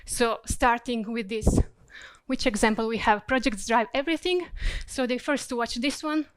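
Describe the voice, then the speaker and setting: A woman presents at a business conference. Her voice sits a little high and sounds clear and smooth. It is recorded close and dry, with almost no room sound, and she explains things step by step, pausing to find her words. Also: dry recording. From Croatia. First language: Croatian. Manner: steady, explanatory, searching for words. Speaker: corporate learning specialist presenting.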